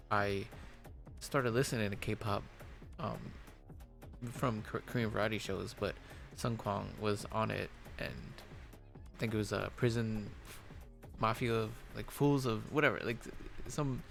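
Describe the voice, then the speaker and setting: Gravelly, hoarse low-voiced man. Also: fairly bright tone. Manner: rambling, halting, full of fillers and restarts. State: hoarse, losing his voice. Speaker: reaction video host. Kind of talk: casual reaction commentary.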